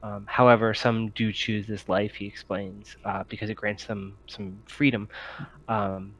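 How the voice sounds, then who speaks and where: A man narrating a tabletop game as game master, in a halting, hesitant way with many pauses. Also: on the low side, flat delivery, fairly bright tone, poor audio.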